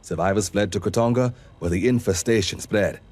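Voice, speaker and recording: An actor playing a composed, formal intelligence informant in a video game, briefing in a measured, detached way. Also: male voice, fairly low pitch, darkish tone, lightly acted. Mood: detached, informative.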